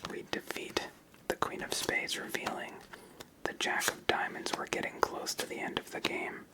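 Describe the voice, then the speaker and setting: A host whispering ASMR commentary while playing a solo card game, explaining each move step by step in an unvoiced whisper. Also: rough recording. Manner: slow, step-by-step narration of moves.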